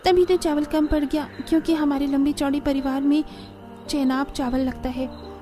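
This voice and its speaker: A woman's voice: high voice, little pitch movement, moderate pace, warm tone, faint music behind. A woman reading scripted narration for a cartoon family story, as the storyteller.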